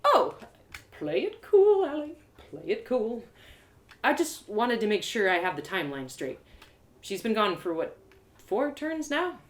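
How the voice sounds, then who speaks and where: A woman voicing an excitable, warm-hearted young heroine in an audio drama, gushing and impulsive. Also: on the high side, measured pace, pitched above the performer's own voice. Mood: flustered. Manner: gushing, impulsive, self-talking. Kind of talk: animated first-person audio drama. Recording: roomy, echoey live reading.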